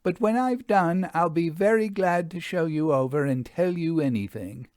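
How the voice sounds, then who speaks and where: Guy doing a busy farm wife, pitched up, polite and helpful, homely storybook voice. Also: normal speed, darkish tone, dry recording, studio quality, natural voice. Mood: busy, obliging. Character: busy, polite farmer's wife, eager to help.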